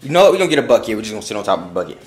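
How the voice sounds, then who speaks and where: A man's voice: lowish voice, very animated, very fast. A young man, talking casually to his phone camera outdoors in a rambling, slangy, encouraging way. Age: young adult. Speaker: vlogger. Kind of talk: casual vlog talk to camera.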